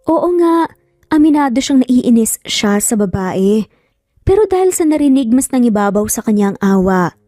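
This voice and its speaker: A woman's voice: on the high side, dry recording, studio quality. A woman with a Filipino accent reading a scripted, dramatic story as its narrator. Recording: soft music under the voice.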